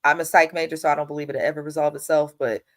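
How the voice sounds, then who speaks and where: A woman's voice: low-pitched, fast. Congested nasal woman hosting a blunt recap. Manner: blunt, animated, swears freely. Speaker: host. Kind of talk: casual TV recap commentary.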